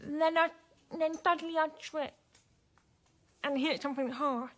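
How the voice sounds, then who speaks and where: A teenage girl in a naturalistic radio drama, high in pitch and slow in pace. She speaks haltingly, frightened but determined to be believed. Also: fairly even intonation. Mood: shaken, recounting. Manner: halting, insistent. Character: frightened, insistent deaf teenage girl witness.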